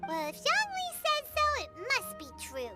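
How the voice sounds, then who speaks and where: A woman voicing a small, chirpy, childlike fairy companion in a video game, squeaky and blunt. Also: huge pitch swings, moderate pace, exaggerated voice. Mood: impressed. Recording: loud game music behind voice.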